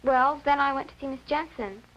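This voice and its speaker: A woman's voice: sits high, brisk, lo-fi sound, close to an everyday voice. A woman playing an eager, innocent American girl of about twelve in a 1950s educational film, speaking in an earnest, exclamatory way. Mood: shyly excited.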